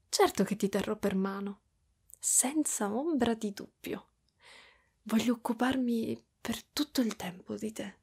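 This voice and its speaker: A doting monster mother, half spider played by a woman, coos in a fairly high, breathy voice. She is clingy and tender, drifting toward whispers as in an intimate ASMR roleplay. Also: slow pace, pauses often, full character voice. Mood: soothing, affectionate. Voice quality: soft, breathy with whispery stretches. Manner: cooing, affectionate, giggly, pet names.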